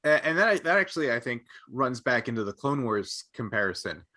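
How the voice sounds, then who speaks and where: A man's voice: mid-range voice, very animated, a little fast, bright, forceful tone. A man co-hosting a podcast, explaining his thoughts in a rambling, thoughtful way during a casual discussion. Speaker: podcast co-host.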